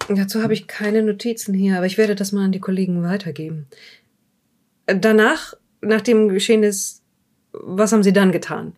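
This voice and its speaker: A woman playing a composed, skeptical police interrogator in a horror audio drama, measured and probing. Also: medium pitch, fairly even intonation, darkish tone, natural voice. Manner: matter-of-fact, probing, measured. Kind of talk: improvised audio drama dialogue.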